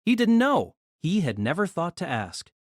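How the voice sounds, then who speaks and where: An audio drama narrator who reads a novel with lively storytelling. The voice rises and falls expressively and sounds dry and close to the mic. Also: mid-range voice, moderate pace, no room sound.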